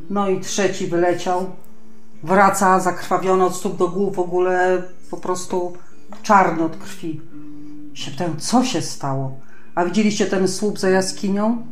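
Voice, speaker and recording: A woman chatting casually as a podcast co-host, telling anecdotes and jokes. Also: fairly low pitch. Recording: roomy, echoey sound.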